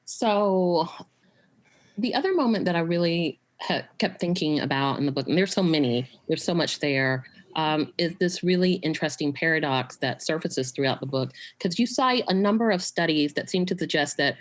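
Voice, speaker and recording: A woman's voice: sits low, lively intonation, moderate pace. A woman hosting a conversational online interview over a video call, chatty and full of "you know" and "right?". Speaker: host. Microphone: home video call.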